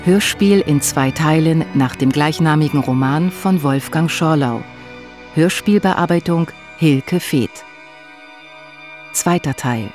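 A man's voice: on the high side, takes it slowly, a little mellow, faint music behind. A man reading scripted title announcements for a German radio drama.

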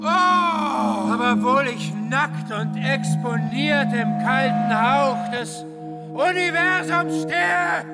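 An actor playing a manic, grandiose man in psychosis who believes himself the messiah, shouting ecstatically in a radio play. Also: extremely high pitch, vocal fry, full character voice. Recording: loud music under the voice.

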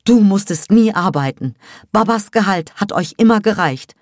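A woman's voice: deep voice, lively intonation, normal speed, no room sound, clean studio sound. Woman playing an exasperated, defiant grown daughter. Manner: annoyed, firm, arguing back. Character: exasperated, defiant adult daughter, determined to stand firm. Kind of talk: audiobook dialogue.

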